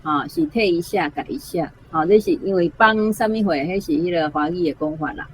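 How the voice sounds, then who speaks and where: A woman's voice: lowish voice, a little fast, slight background noise, low-quality recording. A woman teacher with a Taiwanese accent explaining word usage conversationally, often checking with tag questions. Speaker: language teacher.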